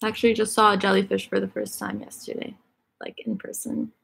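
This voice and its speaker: A woman's voice: mid-range voice, level tone, brisk, gravelly, a bit bright. A woman whose first language is Egyptian Arabic, chatting casually on a live stream and reading out viewers' questions. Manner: chatty, filler-heavy, reads questions aloud.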